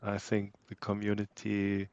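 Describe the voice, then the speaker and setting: A man moderates an online audio chat at an unhurried pace. His voice has a gravelly fry, and the sound is dull and dry, with no room around it. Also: fairly low pitch, completely flat delivery, darkish tone, no echo. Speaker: host.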